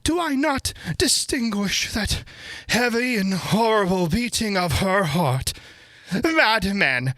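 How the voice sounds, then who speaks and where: A reader voicing a terrified, guilt-ridden, hysterical aristocrat madman in a dramatic gothic audiobook, gibbering between low murmurs and shrieks. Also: animated, slow, fairly bright tone, no room sound. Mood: frantic terror.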